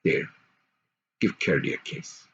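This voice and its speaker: An older man voicing a gentle, grave, fatherly fairy-tale king, soft-spoken and solemn. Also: takes it slowly. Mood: tender, grave. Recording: dull home recording with faint noise.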